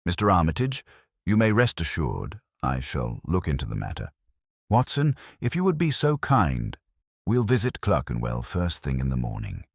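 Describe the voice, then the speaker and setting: Male narrator as audiobook gentleman detective, very deep voice, coolly analytical, precise deductions, dry close sound. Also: wildly swooping pitch, moderate pace, gravelly, no room sound, full character voice. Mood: assured. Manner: precise, formal, musing deductions.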